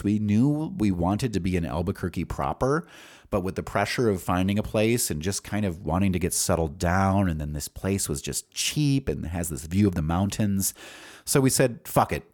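Low-voiced American man telling personal stories. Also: darkish tone. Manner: rambling, candid, profane, thinking aloud. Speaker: podcast host. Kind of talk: casual autobiographical storytelling.

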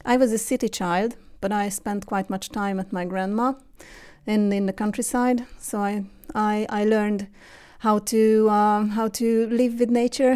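A woman in her forties with a Hungarian accent, a guest telling her story in a relaxed interview, with frequent fillers and restarts. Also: medium pitch, smooth. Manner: hesitant, many fillers and restarts. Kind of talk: casual interview conversation.

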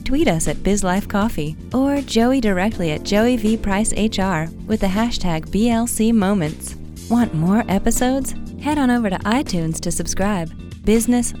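A woman's voice: medium pitch, lively intonation, moderate pace. A woman reading a polished, promotional show intro as announcer. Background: music bed.

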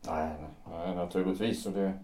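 A man playing a wounded, jealous fifty-year-old former lover in a period audio drama, brooding and halting, often repeating his words. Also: low voice, steady tone, dark tone, far from the mic, lightly acted. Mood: hesitant, disapproving. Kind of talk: period stage-play audio drama acting. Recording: roomy echo, away from mic.

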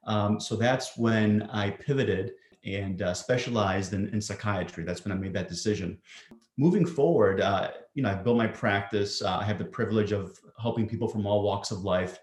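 A man with a low voice explains anxiety as a psychiatrist, talking in a measured way with many ums and uhs. His voice rings in an echoey room. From Greece. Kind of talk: explanatory interview answers.

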